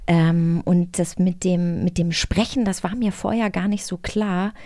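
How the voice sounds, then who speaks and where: A woman's voice: lowish voice, level tone, unhurried, mellow. A woman co-hosting a podcast in casual chat, enthusiastic and informal, sprinkling in English phrases. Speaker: podcast co-host.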